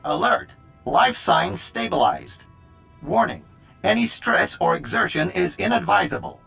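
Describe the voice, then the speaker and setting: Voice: mid-range voice, lively intonation, unhurried, creaky, fairly bright tone, soft background music, full character voice. A male-sounding performer playing an impassive medical droid in a video game, announcing alerts in clipped phrases. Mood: impassive warning.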